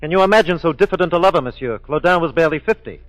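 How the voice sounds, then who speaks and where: A man's voice: on the high side, lively intonation, rapid-fire, natural voice, poor audio. A man acting a courteous but jealous police inspector in a 1940s radio drama, formal, clipped and drily probing. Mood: dry, probing.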